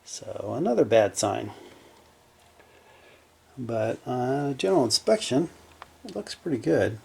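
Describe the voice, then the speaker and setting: A man giving casual, unscripted narration as a hobbyist repairer at his workbench, low-key and hesitant as he thinks aloud. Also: animated, slow, vocal fry, husky. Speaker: hobbyist electronics repairer presenting his project. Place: small indoor home workshop at a bench.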